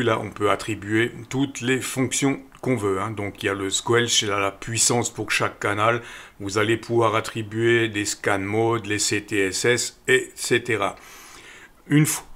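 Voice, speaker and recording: A middle-aged man calmly walking viewers through an app step by step, with frequent fillers. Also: on the low side. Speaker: radio equipment channel host. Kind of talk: screen-recorded app tutorial voice-over.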